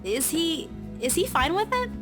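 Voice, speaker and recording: A woman's voice: extremely high pitch, sing-song, moderate pace, music in the background. A woman playing an apologetic, hesitant young woman in a visual novel, speaking politely.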